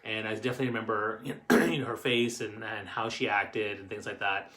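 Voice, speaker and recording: A middle-aged Canadian man chats to camera as he hosts a family show. His voice is bright and forceful. He tells personal stories in a halting, rambling way, circling back as he goes. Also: lowish voice, steady tone. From Canadian Maritimes. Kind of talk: casual personal storytelling to camera.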